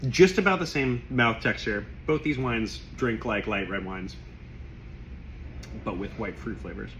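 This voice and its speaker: Hoarse man rambling through a wine tasting. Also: fairly low pitch, unhurried, a bit bright, lo-fi sound, slight background noise. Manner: rambling, effusive, stops to clear his throat. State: losing his voice. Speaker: wine shop owner tasting wines. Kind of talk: casual tasting video.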